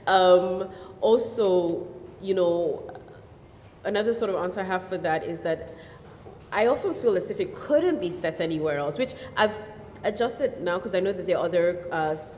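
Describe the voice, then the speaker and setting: A novelist from Nigeria, a woman whose first language is Yoruba, answering questions at a festival in an animated way with many fillers. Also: lowish voice, normal speed, a little hiss or hum. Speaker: novelist guest. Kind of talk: festival stage conversation. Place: festival hall. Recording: echoey hall with long reverb.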